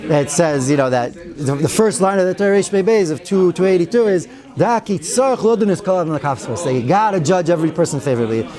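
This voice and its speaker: A man's voice: high-pitched, fast, mellow. A man teaching a weekly Torah class in a synagogue study hall, chatty and digressive, with wry asides and many Hebrew and Yiddish terms. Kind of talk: informal Torah lecture.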